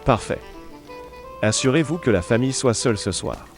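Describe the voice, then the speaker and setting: A man's voice: medium pitch, expressive, moderate pace, natural voice. A man playing a shrewd, cold-blooded barkeeper plotting with the wartime Resistance in an audio drama. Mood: cold, decisive. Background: music behind the voice.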